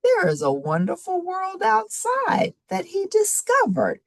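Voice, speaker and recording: A woman reading a picture book aloud for children, expressively and with gentle explanation. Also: very high voice, huge pitch swings, unhurried, creaky, no room sound, clean studio sound. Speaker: storybook reader.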